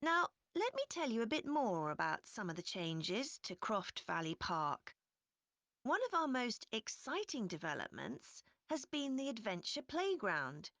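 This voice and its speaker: Lady giving a visitor info talk, takes it slow, pitch moves around a lot, scripted. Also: sing-song, fairly bright tone, no echo, heavily acted. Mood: informative. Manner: measured, clearly explanatory. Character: informative park representative giving a visitor presentation. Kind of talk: scripted informational presentation, listening test recording.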